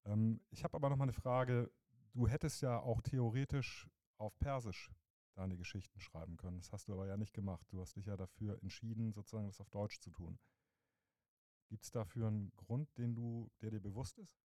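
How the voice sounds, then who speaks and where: Male host, low dark voice, dry close sound, talkative questioner. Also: fairly even intonation, fairly quick, no echo. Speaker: interviewer, teacher. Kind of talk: casual interview questions.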